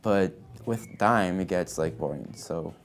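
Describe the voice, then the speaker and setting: A young man from Brazil, a student, reflecting on learning in halting speech with many restarts. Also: low-pitched, soft background music. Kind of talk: reflective interview answer.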